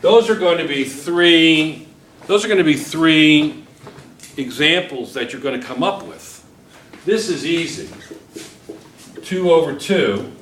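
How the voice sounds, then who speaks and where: A man's voice: on the high side, expressive, slow pace, pauses often, fairly bright tone, a little hiss or hum. A middle-aged man teaching a chemistry class at the whiteboard, encouraging and quizzing his students as he works through problems. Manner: encouraging, questioning students constantly. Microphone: far camera. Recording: roomy classroom from a distant camera.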